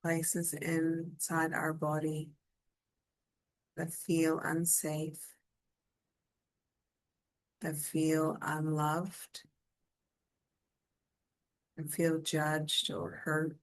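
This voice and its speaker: A woman leading a guided meditation in a soothing, gentle and inviting manner. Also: low-pitched, monotone, extremely slow, long pauses, poor audio.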